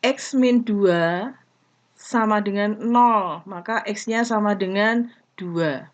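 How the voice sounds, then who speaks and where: A woman giving a math lesson, explaining step by step in short, deliberate phrases. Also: medium pitch. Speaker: math teacher. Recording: dull lo-fi recording.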